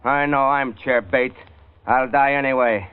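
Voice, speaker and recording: An actor playing a defiant, cornered gang boss in an old radio drama, tough, snarling and excitable. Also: male voice, medium pitch, a little slow, clean tone, close to an everyday voice. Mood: desperate, defiant. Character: desperate, defiant cornered male gangster boss. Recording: muffled old radio recording.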